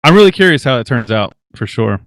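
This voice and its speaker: A man's voice: mid-range voice, sing-song, fast talker, a bit bright, dry recording. A man co-hosting a podcast, chatting casually about technology. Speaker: podcast co-host. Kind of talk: casual tech chat.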